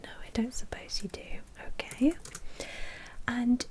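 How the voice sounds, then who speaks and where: A breathy, whispering female vampire who manages a bank, acted out by a woman for ASMR roleplay. Her voice is fairly high and soft-edged. She talks in a chatty, brisk way with a polite, officious streak. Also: steady tone. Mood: polite, businesslike.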